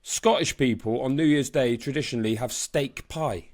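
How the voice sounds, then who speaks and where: A man with a mid-pitched voice co-hosts a casual sports chat. He talks informally, with plenty of slang and swearing, like a reporter off duty. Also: moderate pace. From born in Brisbane, Australia. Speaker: boxing reporter and podcast co-host.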